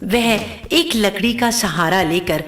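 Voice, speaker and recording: A woman's voice: medium pitch, slow pace, fairly bright tone, faint music behind. A woman narrating a scripted cartoon story as a storyteller.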